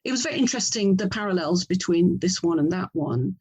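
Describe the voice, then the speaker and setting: A woman's voice: fairly low pitch, expressive, fairly quick, darkish tone, husky. A middle-aged English woman talking conversationally as an interviewed author, reflective and often correcting herself as she meanders through her thoughts. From England.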